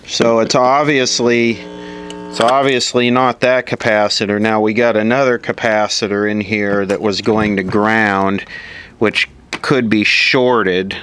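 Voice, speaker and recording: Low-voiced man thinking aloud over repairs. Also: steady tone, slow, fairly bright tone, slight background noise. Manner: musing, asks himself questions. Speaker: electronics repair hobbyist presenter. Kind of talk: casual troubleshooting commentary, thinking aloud.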